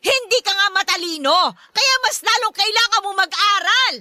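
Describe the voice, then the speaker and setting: A very high-pitched, harsh mother in a radio drama. Her voice is extremely sharp and forceful, scolding in exclamations and threats, recorded dry and close. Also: moderate pace, no room sound, heavily acted. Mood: furious scolding. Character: harsh, scolding, short-tempered Filipino mother of a student. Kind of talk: Tagalog radio drama acting.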